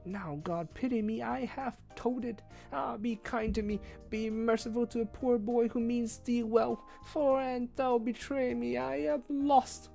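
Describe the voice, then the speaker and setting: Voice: high voice, big pitch swings, slow pace, a little mellow, faint music behind, voice pushed up, exaggerated voice. A storyteller voicing a timid, frightened young page boy who pleads and trembles in old-fashioned speech. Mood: pleading.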